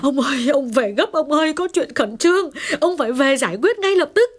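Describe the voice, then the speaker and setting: A woman's voice: medium pitch, expressive, normal speed, husky. A woman voicing a fretful middle-aged wife and mother who pleads and sighs in an audio story. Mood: tearful, urgent. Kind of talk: audio story character dialogue.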